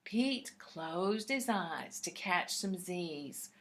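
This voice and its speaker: A woman reading a picture book aloud to young children in an expressive, deliberate, explanatory way. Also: on the low side.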